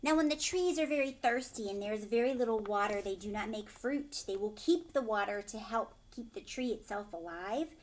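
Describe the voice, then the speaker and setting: A woman teacher reading a storybook aloud to children, expressive, emphatic on key words and often asking questions. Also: a little high, normal speed, few pauses.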